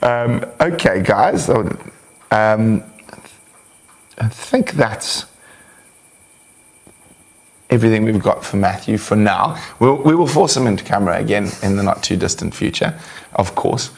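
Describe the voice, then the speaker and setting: Low-voiced man hosting a relaxed live chat. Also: measured pace, creaky, long pauses. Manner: relaxed, chatty, laughs often, many "um"s. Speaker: host of a live wildlife show. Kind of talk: casual live-stream chat, reading viewer questions.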